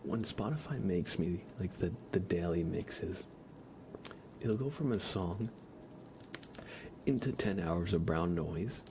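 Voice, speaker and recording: Hushed, creaky low-voiced man, ASMR streamer. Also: expressive, slow, long pauses, noisy background. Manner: hushed, often slipping into whisper. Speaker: ASMR livestream host. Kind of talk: soft ASMR livestream chat.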